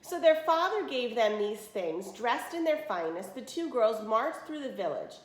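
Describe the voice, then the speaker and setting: A woman storyteller reads a picture book with a highly animated, fairly high voice. She breaks off for plain explanatory asides, and the room sounds echoey. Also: moderate pace. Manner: explains words plainly to listeners. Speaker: read-aloud storyteller. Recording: roomy, echoey indoor sound.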